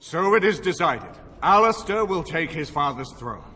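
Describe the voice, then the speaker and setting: An actor playing a stern noble lord presiding over a council in a game, formal and declamatory. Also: male voice, on the high side, expressive, moderate pace. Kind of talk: dramatic game acting. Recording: reverberant hall, loud music behind.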